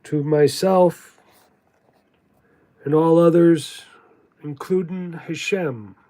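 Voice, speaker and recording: A man giving a contemplative spiritual monologue in a halting, deliberate way, repeating words for emphasis. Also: a little high, fairly even intonation, warm tone. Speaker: spiritual teacher reading from own writings.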